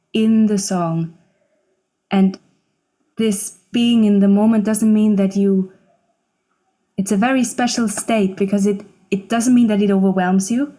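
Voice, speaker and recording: A woman's voice: medium pitch, warm tone, rough recording. A woman, a German speaker, talking casually and reflectively as an interview guest. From Switzerland. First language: Swiss German. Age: 20s. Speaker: interview guest, singer-songwriter.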